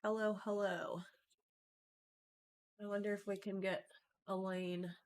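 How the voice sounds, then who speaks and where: A woman with a stuffy nose from allergies, giving a casual trading lesson in a chatty, informal way with frequent ums and throat clearing. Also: mid-range voice, little pitch movement, slow. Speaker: stock trading educator. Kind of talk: casual chart-analysis lesson.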